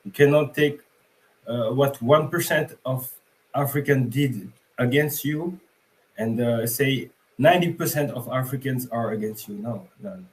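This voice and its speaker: A man's voice: mid-range voice, unhurried, poor audio. A young man from Senegal, calling in and speaking hesitantly with many fillers. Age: young adult. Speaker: caller.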